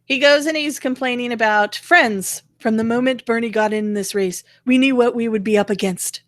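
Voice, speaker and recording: A woman's voice: a little high, moderate pace, no echo, clean studio sound. A woman giving casual political commentary, explaining her opinions in a wry, conversational way. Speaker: co-host of political rant show.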